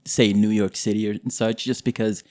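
A young man from Kenya whose first language is Kizigua, a guest talking in a relaxed, unhurried way with many fillers. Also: fairly low pitch. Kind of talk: casual interview.

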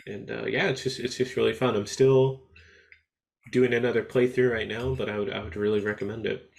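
A man co-hosting a podcast in casual chat, laid-back and halting, often pausing on "um". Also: medium pitch, little pitch movement, gravelly. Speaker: podcast co-host.

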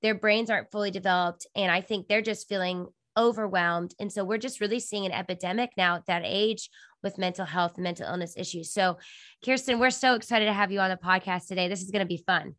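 A woman's voice: medium pitch, brisk, a bit bright, no echo, studio quality. A woman hosting a podcast interview over a video call, talking in a chatty way with lots of fillers, her voice nasal from a cold. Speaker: podcast host. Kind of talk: casual interview chat.

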